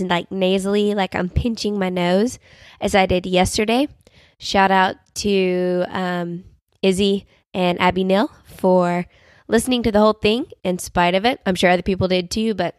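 A woman's voice: medium pitch, no room sound, clean studio sound. A young woman hosting a casual devotional podcast, talking earnestly with lots of fillers while getting over a sinus infection that makes her voice cut in and out. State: recovering from a sinus infection, voice cutting in and out. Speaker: podcast host.

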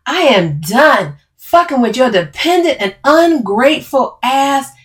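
A woman's voice: on the high side, animated, takes it slowly, voice pushed up. A woman voicing an overbearing, confrontational woman in dramatic audiobook dialogue, emphatic and bossy. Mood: angry, swearing. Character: Camille, overbearing, furious, taunting woman, bossy cousin.

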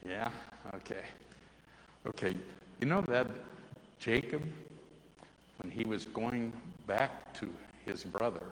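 Man, loud voice, children's Bible teacher, questioning kids; long reverb, faint ambient noise. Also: deep voice. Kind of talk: Bible story told to children, then a hands-on activity.

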